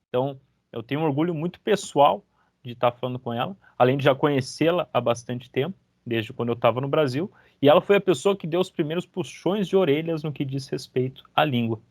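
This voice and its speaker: A man's voice: mid-range voice, no room sound, clean studio sound. A man hosting a casual interview chat, enthusiastic and chatty, his voice jumping up when he greets. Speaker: podcast host.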